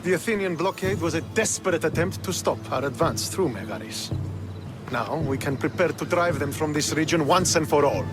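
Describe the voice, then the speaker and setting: Guy playing proud young spartan officer in a game, stern n formal, slightly high voice, loud music behind. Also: animated, normal speed, natural voice. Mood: proud, devoted. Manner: formal, commanding, earnest. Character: proud, stern young male Spartan military commander, devoted and dutiful. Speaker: video game character, army officer. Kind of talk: dramatic video game cutscene acting.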